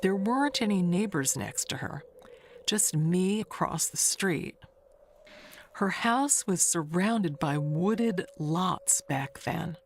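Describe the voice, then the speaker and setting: An actress performs an older woman neighbor telling a mystery tale in an audio drama. She speaks slowly in a lowish voice, confiding and rambling, with a wistful undertone. Noise runs clearly behind her. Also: expressive. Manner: confiding, rambling, self-correcting.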